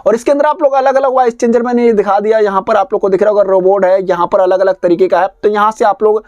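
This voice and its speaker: A man's voice: high voice, steady tone, quick pace, a little mellow, no echo, dull audio. Man giving a dry-sounding phone tutorial. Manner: repetitive, instructional phrasing. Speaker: tech tutorial host. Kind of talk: step-by-step phone tutorial narration.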